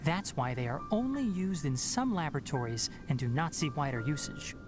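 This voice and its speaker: A voice actor playing a calm, learned young male forest ranger who speaks in a measured, analytical way. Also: on the high side, close to an everyday voice. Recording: game audio with loud music behind. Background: loud game music.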